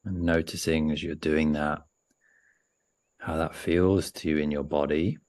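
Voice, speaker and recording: Really deep male voice, meditation guide, gentle n unhurried, pretty flat tone w lots of pauses. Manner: gentle, unhurried, pausing often mid-sentence.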